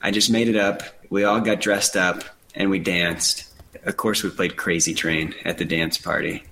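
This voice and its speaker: A man's voice: deep voice, fairly even intonation, moderate pace, gravelly, sharp tone, a little hiss or hum. A man in his thirties with a mildly nasal voice, co-hosting a casual chat and telling stories about himself with a laugh. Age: 30s. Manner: earnest storytelling with self-deprecating laughs. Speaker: podcast co-host.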